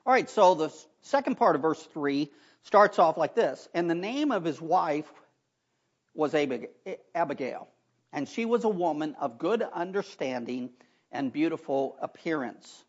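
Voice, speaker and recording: A man preaching a sermon in a church, hoarse from allergies and talking in a folksy way with chatty asides. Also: a little high, expressive, a little slow. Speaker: pastor. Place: church sanctuary. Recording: dull lo-fi recording.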